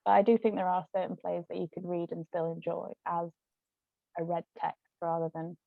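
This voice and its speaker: A woman's voice: fairly low pitch, flat delivery, fairly quick, creaky. A woman chatting in a rambling way as a guest in a casual discussion. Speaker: guest author and actress. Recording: muffled lo-fi phone-like audio, roomy echo.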